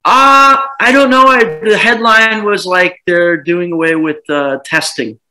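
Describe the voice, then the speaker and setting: A man in his thirties talks over a laptop webcam from a dim living room, his voice bright and a touch high. He rambles and restarts often, with animated bursts, in a relaxed video call chat. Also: measured pace, low-quality recording. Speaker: home seller.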